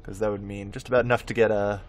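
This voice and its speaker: A man's voice: lowish voice, flat delivery, quick pace, slight background noise. A man giving casual gameplay commentary, thinking aloud in a rambling way and often correcting himself. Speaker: host of a gameplay video.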